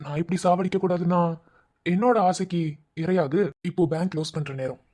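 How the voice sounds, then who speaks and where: A man's voice: sits high, steady tone, fairly quick, a little mellow. A man voicing a leering, predatory male villain who gloats and menaces. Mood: menacing. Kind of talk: audio drama acting.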